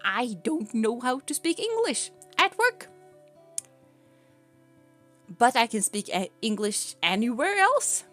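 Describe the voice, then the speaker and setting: Woman, Swedish accent, native Swedish speaker, unhurried, giggly rambling stream chat, faint music behind. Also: a little high, very animated, fairly bright tone. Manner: rambling and giggly, often laughing mid-sentence. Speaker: VTuber streamer.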